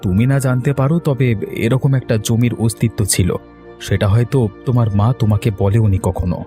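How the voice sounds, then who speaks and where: Man playing a hot-headed, mellow-voiced young villager. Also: medium pitch, a little fast, natural voice. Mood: irritated. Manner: accusing, blustering, confrontational. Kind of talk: cartoon story dialogue. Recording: music under the voice.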